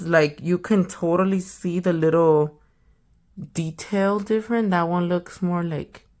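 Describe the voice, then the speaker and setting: A young woman hosting a nail channel, chatting casually and slangily while unboxing, a little hoarse from a sore throat. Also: lowish voice. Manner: chatty, slangy, trailing off to a whisper. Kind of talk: casual product unboxing chat.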